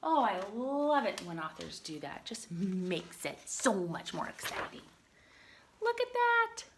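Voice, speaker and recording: A woman reading a picture book aloud to young children in an expressive way, adding chatty explanations and questions for her listeners. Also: mid-range voice, unhurried. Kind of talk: animated read-aloud with chatty asides and questions.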